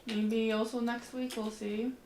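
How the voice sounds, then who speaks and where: A woman's voice: a little high, little pitch movement, unhurried, a little mellow, echoey room, slight background noise. A woman livestreamer chatting casually while playing a game, rambling in a slangy, informal way. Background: faint game music.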